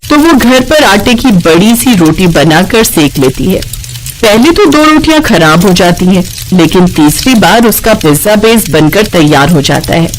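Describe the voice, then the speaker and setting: Animated woman storyteller. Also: mid-range voice, rough recording. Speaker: story narrator. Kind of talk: scripted storytelling narration for a children's cartoon.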